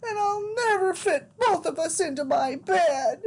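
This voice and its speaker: A woman's voice: expressive, a little slow, darkish tone, exaggerated voice. Woman doing a scared little boy. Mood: bewildered. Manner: high, wavering, fearful. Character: small frightened boy lost in dark woods.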